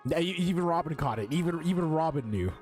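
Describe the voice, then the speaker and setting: A man's voice: a little high, lively intonation, fast talker, a little mellow, soft background music. Sweary man reacting to a game. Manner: sweary, slangy, incredulous. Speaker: streamer reacting to a game story. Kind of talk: casual gaming commentary.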